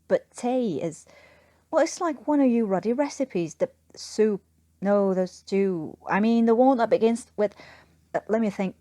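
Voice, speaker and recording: A woman's voice: mid-range voice, unhurried, dark tone, dry recording, clean studio sound, natural voice. A woman voicing a cheeky, sickly Cockney man in a story, with teasing, rambling informal talk. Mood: rambling, apologetic. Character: cheeky, sickly Cockney Englishman, a wartime airman. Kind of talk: storybook character voice.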